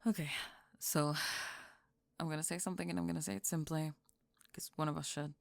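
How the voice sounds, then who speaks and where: A woman playing a cool, blunt teenage bully girl with a wry, deadpan, teasing manner in an ASMR audio roleplay. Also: deep voice, fairly quick, creaky, no echo, clean studio sound. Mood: sincere.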